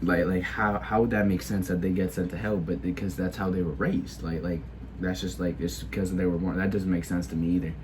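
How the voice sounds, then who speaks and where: A man's voice: low voice, level tone, brisk, background noise. A teenage boy who is sick, giving a casual opinion monologue in a hesitant way, full of filler words and restarts. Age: teens. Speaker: video creator.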